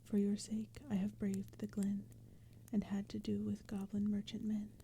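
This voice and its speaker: A woman voicing devoted, impassioned young sisters from a storybook poem in a breathy, pleading whisper. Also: medium pitch, moderate pace, lightly acted. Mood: tender urging. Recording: steady background ambience noise. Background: crackling fire and forest sounds.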